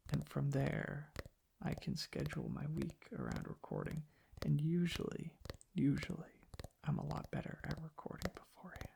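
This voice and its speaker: A man softly rambling in an ASMR style, hushed and halting, drifting into whispers close to the mic. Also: fairly high pitch, wildly swooping pitch, gravelly. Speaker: ASMR video creator. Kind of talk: soft-spoken ASMR ramble. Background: tapping and clicking.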